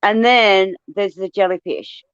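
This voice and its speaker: A woman's voice: mid-range voice, unhurried, fairly bright tone, smooth, no room sound, highs cut off. A woman from Australia with an Australian accent, chatting casually, blunt and swearing freely as she tells stories. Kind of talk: casual chat.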